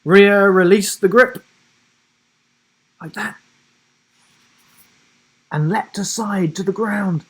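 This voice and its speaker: Slow-paced, dramatic male storybook narrator. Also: sits high, slightly rough. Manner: animated, suspenseful storytelling with deliberate pauses. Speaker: children's book narrator.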